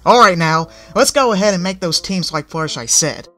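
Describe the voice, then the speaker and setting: A man's voice: sits high, lively intonation, fairly quick, sharp tone, faint music behind, pitched above the performer's own voice. A man voicing a cocky, sly young male griffin with a competitive edge.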